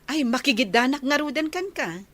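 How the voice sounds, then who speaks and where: Animated Ilocano big sister played by an actress. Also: female voice, high voice, normal speed. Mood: conversational. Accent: Filipino (Ilocano). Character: lively older sister of a young man. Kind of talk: Ilocano radio comedy drama acting.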